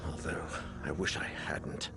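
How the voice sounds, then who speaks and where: A man's voice: measured pace, lightly acted. An actor playing a weary, haunted man desperate to end a curse, grave and brooding in a horror game. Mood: grave, resigned. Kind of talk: horror game dialogue and narration. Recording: music loud behind the voice. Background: loud music.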